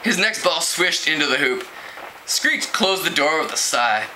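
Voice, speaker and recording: A man with a very bright, slightly high voice reads a teen novel aloud. His delivery is expressive and animated, as an amateur storyteller's would be. The recording has clear background noise. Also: measured pace. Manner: expressive, acts out dialogue with pitch jumps. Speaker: amateur book reader. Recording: clearly noisy home recording.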